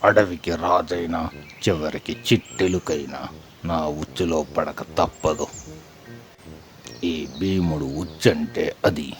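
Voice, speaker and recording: A man voicing a boastful, menacing forest hunter in a children's puppet show, gloating in a drawn-out way. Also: low voice, steady tone, faint music behind.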